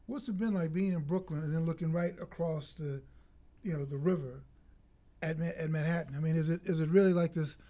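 A man with a fairly high-pitched voice working as a sports interviewer. His style is conversational and probing, with bantering questions that he reshapes as he speaks. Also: fairly quick, mellow. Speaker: interviewer, sports show host.

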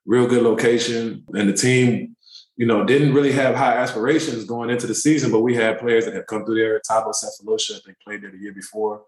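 A man in his thirties, a pro basketball player, telling relaxed, rambling stories in a casual interview. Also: medium pitch, a little fast, reverberant, poor audio. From born in Turkey, raised in the DC area. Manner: relaxed, rambling, many fillers.

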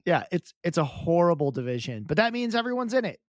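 A man co-hosting a sports podcast who talks in a chatty, animated way, often repeating words and restarting sentences. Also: high voice, quick pace, no echo, studio quality. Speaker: podcast co-host. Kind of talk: casual sports chat.

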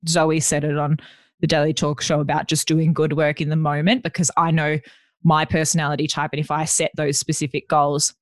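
A woman with a deep voice, chatting as a podcast guest and telling self-deprecating stories. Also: level tone, a little fast, dry recording, studio quality. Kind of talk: casual chat.

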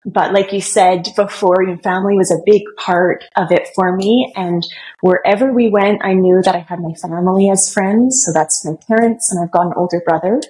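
A woman's voice: medium pitch, moderate pace, low-quality recording. Woman guest on a podcast, friendly and chatty, laughs a lot, normal mid voice. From Germany, raised in Canada. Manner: warm, friendly, laughs easily. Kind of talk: conversational interview answers.

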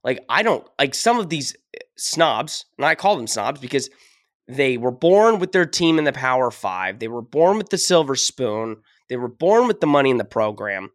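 A man's voice: mid-range voice, big pitch swings, moderate pace, no echo, studio quality. A man hosting a casual sports podcast, ranting with emphasis, a little sick and losing his voice. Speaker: podcast host.

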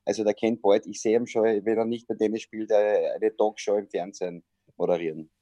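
A man's voice: lowish voice, little pitch movement, fairly quick, warm tone, no echo, lo-fi sound. A middle-aged man with an Austrian accent, a sports journalist and comedian, chatting over a video call and telling stories with lots of hesitations. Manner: chatty storyteller with frequent hesitations.

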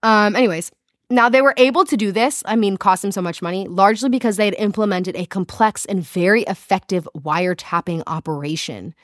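South African woman, podcast co-host, animated storyteller, mid pitch, dry close sound. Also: brisk, dry recording. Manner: giggly, animated storytelling with silly voices. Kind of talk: casual chat, reading history notes.

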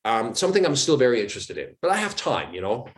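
Swiss-accented man telling animated stories. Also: medium pitch, fast. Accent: Swiss German. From Switzerland. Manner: animated storyteller, acts out quoted dialogue. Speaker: actor guest. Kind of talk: casual interview chat.

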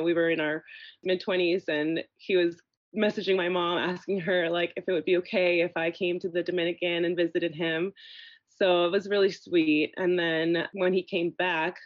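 A woman's voice: lowish voice, no echo. Chatty woman guest, dry dull sound. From Venezuela. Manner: chatty, lots of "like" and "you know". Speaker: podcast guest. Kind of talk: casual conversation.

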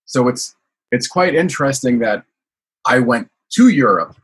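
A man's voice: medium pitch, animated, a little slow, slightly rough. A man from the United States, a guest telling his life story in a casual interview, rambling with many fillers. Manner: rambling, frequent "you know" fillers.

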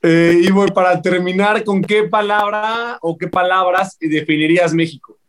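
A man's voice: high-pitched, moderate pace. A man co-hosting a podcast, asking light questions and laughing often. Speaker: podcast co-host. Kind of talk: casual interview questions.

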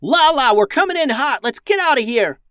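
A man's voice: on the high side, expressive, slow pace, fairly bright tone, dry recording, clean studio sound, voice pushed up, exaggerated voice. A man voicing a bold, hot-headed female starship captain with a commanding, urgent manner in a children's adventure story.

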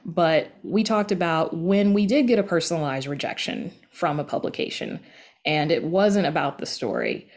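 A woman's voice: low-pitched, animated, normal speed, a little mellow. A woman guest in a casual interview, explaining things conversationally with plenty of filler phrases. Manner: conversational, explanatory, peppered with you know and like. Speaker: podcast guest, writer and audio drama creator.

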